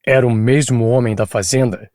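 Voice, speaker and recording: A man voicing an insistent police chief who questions a witness in a firm, measured way. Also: medium pitch, fairly even intonation, mellow, no room sound, studio quality, natural voice. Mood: probing.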